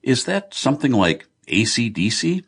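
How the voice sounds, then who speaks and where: Man playing an affable architect, husky and very animated. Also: mid-range voice, moderate pace, voice pushed up, full character voice. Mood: amused, curious. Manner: agreeable, chummy, mildly amused. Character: George, affable, confident male architect, amused old friend.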